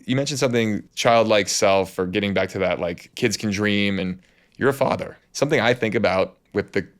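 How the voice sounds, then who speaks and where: Lowish-voiced man hosting a casual interview. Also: fairly quick. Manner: affirming, agreeable interjections. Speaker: podcast host, interviewer.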